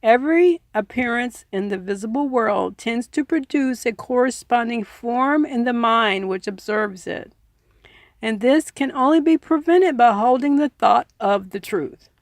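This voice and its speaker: A woman reads aloud in an earnest, informal audiobook style. Her voice sits a little high and often breaks into creak. She takes her time, and the recording is close and dry. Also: a little slow, no room sound. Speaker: reader and commentator. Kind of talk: audiobook reading with informal asides.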